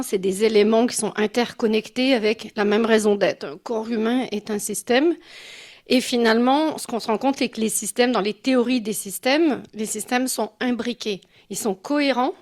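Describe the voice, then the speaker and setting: A mature woman from Quebec gives an informal conference talk as a guest speaker, in a personal and reflective way. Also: fairly high pitch. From Quebec City, Canada. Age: 50s or older. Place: live talk before an audience.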